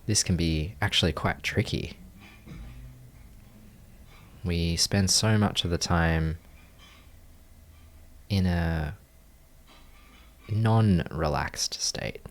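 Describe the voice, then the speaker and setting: A man leading a guided meditation, giving gentle, calm instructions in measured phrases with long pauses. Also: sits low. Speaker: meditation teacher.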